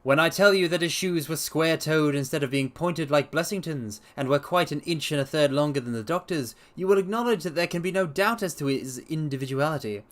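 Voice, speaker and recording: A man voicing a self-assured gentleman detective in a storybook reading, brisk, precise and emphatic as he explains himself. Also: a little high, close to an everyday voice. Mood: analytical, confident.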